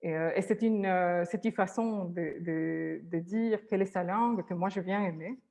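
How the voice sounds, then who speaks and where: A woman's voice: fairly low pitch, normal speed, vocal fry, darkish tone. A woman therapist whose first language is Spanish, speaking hesitantly with many restarts and fillers.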